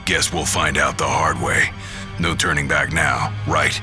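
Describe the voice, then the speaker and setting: An actor playing a gruff, blunt, burly young male fighter in a video game, talking in clipped, casual phrases. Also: very deep voice, steady tone, unhurried, loud music behind the voice. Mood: grim resolve. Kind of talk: video game cutscene acting.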